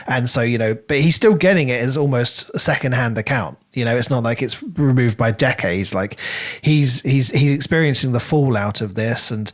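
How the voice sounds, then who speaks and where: A male podcast co-host in relaxed book discussion, with a mid-pitched voice. He rambles and works out his thoughts as he speaks, in a conversational flow. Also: moderate pace, hardly any pauses. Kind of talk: casual book review discussion.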